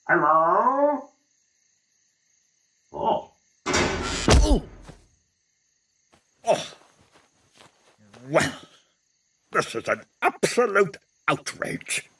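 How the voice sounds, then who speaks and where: An actor playing a fussy, easily flustered middle-aged British oddball in a cartoon, muttering short exclamations and indignant grumbles. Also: male voice, high voice, bright, forceful tone, no room sound, full character voice. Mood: anxious, outraged. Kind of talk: cartoon voice acting.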